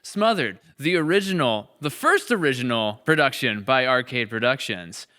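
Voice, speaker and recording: Clear, bright-voiced American man hosting a podcast. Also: medium pitch, expressive, moderate pace. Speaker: podcast host and series creator. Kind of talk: casual reflective podcast conversation.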